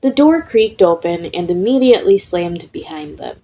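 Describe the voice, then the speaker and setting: A woman's voice: lowish voice, lively intonation, normal speed, mellow, husky, low-quality recording. A woman reading a scripted story aloud as a narrator. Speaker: fan fiction podfic reader.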